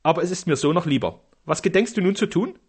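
A sly highway robber who brags eagerly about his deeds, as a male reader puts him on. The voice sits higher and louder than the narration, with a breathy edge. A storybook outlaw, talkative and cocky. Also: animated, quick pace. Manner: boastful, eager.

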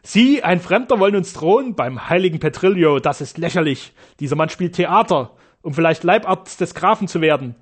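A man voicing a hostile, scornful Spanish surgeon in an audiobook, mocking and at times shouting. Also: fairly high pitch, expressive, moderate pace, few pauses, slightly rough. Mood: sneering.

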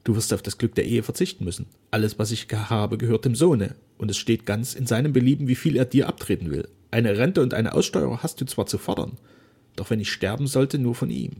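A man reading aloud voices a dying old duke speaking solemnly and gravely to his daughter. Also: medium pitch, brisk, warm tone, voice pushed up. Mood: moved. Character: dying proud old duke, remorseful father.

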